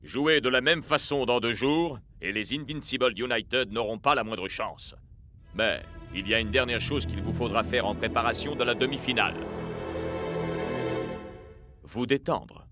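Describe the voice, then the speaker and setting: Composed middle-aged team coach for a cartoon male actor: authoritative, measured, mid-range voice. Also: mid-range voice, sharp tone, natural voice. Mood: instructing. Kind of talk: French cartoon dub acting. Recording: music behind the voice.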